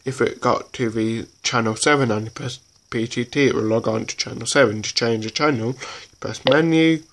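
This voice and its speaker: A man giving an informal hands-on tutorial in a halting, repetitive way, often checking in as he goes. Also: mid-range voice, fairly bright tone. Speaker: presenter of a tutorial. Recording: noisy homemade recording.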